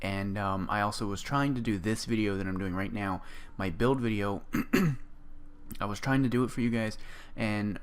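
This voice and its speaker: Hoarse, lower-voiced man rambling about games. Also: fairly bright tone. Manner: rambling, full of ums and restarts. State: hoarse with a sore throat. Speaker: gaming video creator. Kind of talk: casual gameplay build walkthrough.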